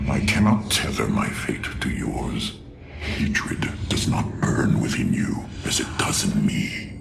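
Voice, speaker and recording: An actor playing a proud, vengeful alien warlord with a gravelly voice and a sardonic, haughty, theatrical manner in a game cutscene. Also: male voice, extremely low pitch, sing-song, slow pace, in a whisper, full character voice. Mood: bitter, vengeful. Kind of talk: dramatic video game cutscene acting. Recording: music behind the voice.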